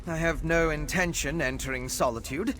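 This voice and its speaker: An actor playing a wry, refined vampire scholar in a video game, speaking in an articulate, measured, bookish way. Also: male voice, on the high side, fairly bright tone, a little hiss or hum, close to an everyday voice. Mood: dry, decisive. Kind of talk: video game character dialogue. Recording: game ambience behind the voice.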